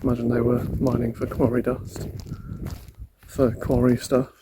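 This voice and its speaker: A man vlogging as he explores hills and an old quarry outdoors, talking quietly to himself and musing as he walks, picked up by a camera mic. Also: medium pitch, monotone, moderate pace, very noisy. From Wales. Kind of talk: casual hiking vlog narration.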